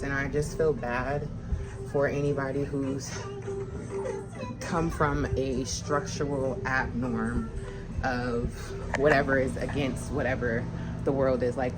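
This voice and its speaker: A woman's voice: very deep voice, poor audio. A young woman vlogging to camera, talking bluntly and animatedly straight to her viewers in a candid chat. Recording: room noise behind the voice.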